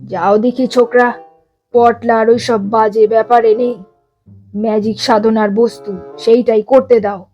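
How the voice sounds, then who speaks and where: A woman's voice: on the high side, little pitch movement, measured pace, mellow, highs cut off, faint music behind, voice pushed down, close to an everyday voice. A woman voicing a stern, dismissive old male magic guru, curt and pompous, in a story reading.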